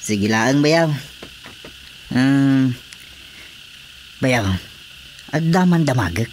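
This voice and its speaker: An actor playing an eager, hesitant young brother-in-law in an Ilocano radio comedy drama, with an Ilocano accent. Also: male voice, medium pitch, expressive, very slow. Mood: obliging, sheepish. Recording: faint background noise with crickets.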